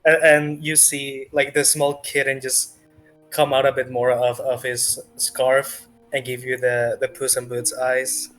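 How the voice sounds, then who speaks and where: A man narrating a tabletop roleplaying game as game master, in a halting, hesitant way. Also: medium pitch, monotone, a bit bright, soft background music, low-quality recording.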